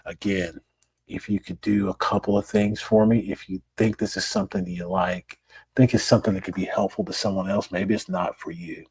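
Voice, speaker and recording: Middle-aged man, raspy and hoarse, low voice, dry close sound; easygoing solo podcast host. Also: brisk, no echo. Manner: relaxed, conversational, repeats words. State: hoarse after illness. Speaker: plastic surgeon podcast host.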